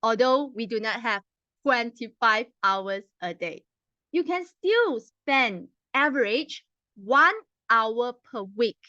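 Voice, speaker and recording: A woman's voice: fairly high pitch, bright, forceful tone, no echo. A young woman with a Mandarin accent, a non-native English speaker, opening a club meeting with a rehearsed speech full of emphatic rhetorical questions. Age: young adult. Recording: dull lo-fi dry sound.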